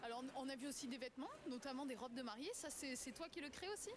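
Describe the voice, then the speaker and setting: A woman reporter interviewing on location at an event, chatty and pausing often as she forms her questions. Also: sits high. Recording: crowded event, clear crowd noise. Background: crowd at event.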